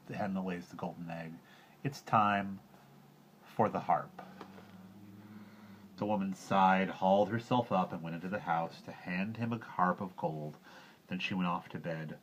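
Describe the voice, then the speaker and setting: A man with a low voice reads a children's tale aloud. His narration is expressive, shaped for listeners following a story, and the recording sounds a little dull and lo-fi. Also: moderate pace, a little hiss or hum. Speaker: storybook reader.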